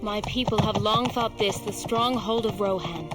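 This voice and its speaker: A woman's voice: mid-range voice, moderate pace, over loud music, natural voice. An actress playing a stoic, determined young female warrior, speaking earnestly and solemnly. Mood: grave, resolute.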